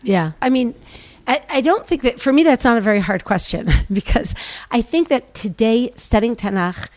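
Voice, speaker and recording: A woman's voice: a little high, moderate pace, no room sound. A middle-aged woman, a guest Bible teacher, giving thoughtful, earnest answers with emphatic rises on key words at a live podcast taping. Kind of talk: reflective lecture-style answers. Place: live event before an audience.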